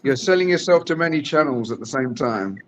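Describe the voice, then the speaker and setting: A man chatting as a guest on a football watch-along over a phone call, halting and restarting often. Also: medium pitch, expressive, slight background noise. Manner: halting, with many fillers and restarts. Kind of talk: casual chat over video call.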